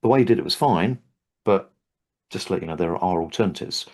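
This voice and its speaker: Low-voiced older man chatting. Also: fairly quick, a little mellow. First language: English. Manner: thoughtful, hesitant with frequent restarts. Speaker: Havening trainer and host. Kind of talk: casual interview chat.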